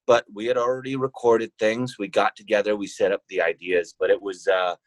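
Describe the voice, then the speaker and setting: A man speaking as a podcast guest, thinking aloud deliberately in a conversational interview. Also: fairly low pitch, level tone, dry recording, low-quality recording. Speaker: podcast guest, founder of a role-playing podcast.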